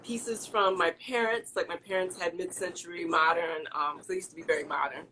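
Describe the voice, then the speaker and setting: A woman's voice: fairly low pitch, steady tone, a little fast, pushed and bright, low-quality recording. Chatty midwest lady in an interview, laughs a lot, lots of ums and restarts, faint hiss behind. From American Midwest. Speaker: interior designer guest. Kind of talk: casual interview chat.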